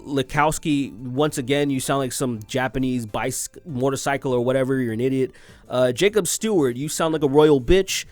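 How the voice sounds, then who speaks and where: A man's voice: medium pitch, dry recording. A young man hosting a commentary show, ranting crudely and sarcastically and laughing at his own jokes. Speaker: commentary video host. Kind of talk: ranting commentary on tweets and news.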